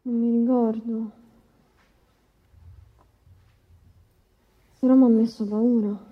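Shaken young witness in reverberant hall. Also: female voice, a little high, level tone, normal speed, slightly rough. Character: young woman testifying as victim, shaken but defiant. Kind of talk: courtroom docudrama acting. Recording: dull sound with long hall reverb.

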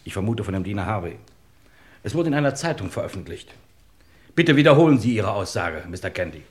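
An actor playing a courteous, formal, businesslike police inspector in a German radio crime play. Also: male voice, fairly low pitch, very animated, fairly quick. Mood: official, probing.

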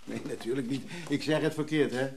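A male actor plays a coaxing errand man in a radio drama. The voice is medium in pitch, hesitant and eager to placate, recorded with faint hiss and small clicks. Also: brisk, creaky, natural voice. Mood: placating. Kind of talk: Dutch radio drama acting.

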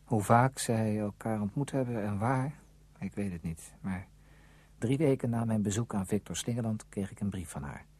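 Brooding lovelorn narrator in a radio play, lowish mellow voice, measured delivery. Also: male voice, slightly rough. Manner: measured, literary. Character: lovelorn, brooding man narrating his own memories. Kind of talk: first-person radio drama narration.

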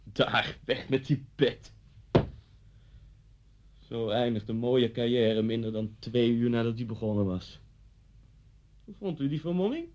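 A man's voice: medium pitch, unhurried, long pauses, a little mellow, dull audio, slight background noise, close to an everyday voice. An actor playing a vengeful, deranged middle-aged man in a radio play, mocking and theatrical as he threatens someone. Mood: gloating. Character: vengeful, deranged man taunting his old schoolteacher at gunpoint.

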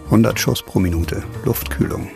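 An actor playing a wry, cynical doctor turned investigator in a radio crime drama, speaking with dry sarcasm. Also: male voice, on the low side, normal speed, creaky, over music. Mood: tense, pondering. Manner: dry, sardonic.

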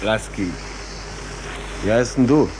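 An actor in a 1940s German radio drama playing a world-weary, wry stateless coal trimmer, plain-spoken and fatalistic. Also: male voice, lowish voice, slow pace, rough recording. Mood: wry, matter-of-fact. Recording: old radio recording, faint noise.

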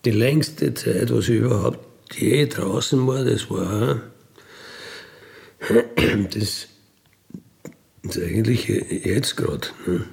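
Mature Austrian man, gravelly and unhurried, unscripted first-person recollection, plain-spoken and unapologetic. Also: fairly low pitch, a little mellow. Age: middle-aged or older. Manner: matter-of-fact, colloquial, unapologetic. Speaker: ex-convict interviewee telling his life story. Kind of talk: candid conversational recollection.

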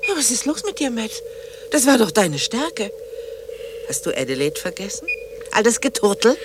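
An actress playing a bitter, sarcastic middle-aged ex-wife in a German radio play, theatrical and cutting. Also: female voice, a little high, very animated, normal speed, background noise. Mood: bitterly mocking. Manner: sarcastic, mocking, dramatic.

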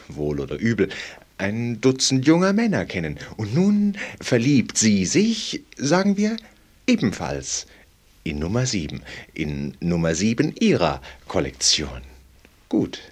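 A worldly, playful gentleman confidant in a radio play. His voice is husky and swings widely in pitch as he muses theatrically, lingering over rhetorical pauses. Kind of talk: German radio play acting.

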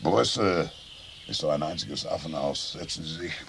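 An actor playing a grumpy, sardonic retired Finnish statesman of sixty-five in a radio play, gravelly and gruff. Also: a bass voice, moderate pace, fairly bright tone, exaggerated voice. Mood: sardonic, lecturing. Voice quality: creaky, gravelly. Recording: faint outdoor background noise.

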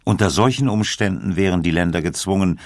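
A man narrates a scripted documentary in a very low voice with plenty of gravel. His delivery is measured and uses pauses for weight. The sound is close and dry. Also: dry recording.

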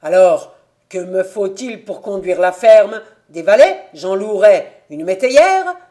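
Storybook role: bitter, indignant eldest son of a farmer woman narrator performing, forceful, loudly protesting. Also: medium pitch, expressive, slow. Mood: furious, challenging.

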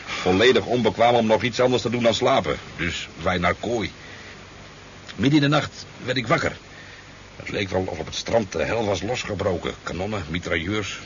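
Actor as a low-voiced rambling fisherman. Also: normal speed, a bit bright, lightly acted. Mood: animated storytelling. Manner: hesitant, rambling storytelling with stammers. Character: talkative, easygoing sport fisherman, a boozy eyewitness. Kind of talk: 1970s Dutch radio drama acting. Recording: dull, noisy 1970s radio recording.

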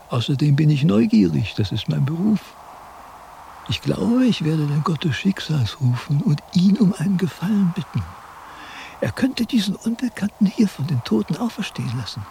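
Old wandering philosopher, fairly high-pitched, cantankerous, theatrical declamation, clearly noisy vintage radio drama recording. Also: male voice, dark tone. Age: older. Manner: declamatory, self-questioning, cantankerous. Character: vain, grumbling old wandering philosopher with grey beard. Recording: noisy 1970s radio drama with sound effects.

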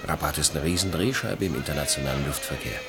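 An actor playing a suave, cynical journalist in a German radio play, talking with ironic, teasing gallantry. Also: male voice, rumbling low, fast, music in the background. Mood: nonchalant. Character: suave, cynical male journalist chasing money, world-weary adventurer.

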